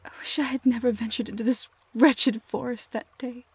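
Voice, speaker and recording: A woman playing a heartbroken young woman lost in a fairy-tale forest, in an emotional audio roleplay, halting and often dropping to a whisper. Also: on the high side, fairly even intonation, husky, no echo. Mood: sorrowful, lost.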